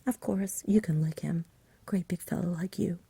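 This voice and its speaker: A woman's voice: fairly low pitch, moderate pace, creaky, dark tone, breathy edge, no echo, full character voice. A woman voicing an eager, cheeky, pleading village boy in a whispered ASMR storybook reading. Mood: cheeky, excited. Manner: urgent, cheeky, imploring.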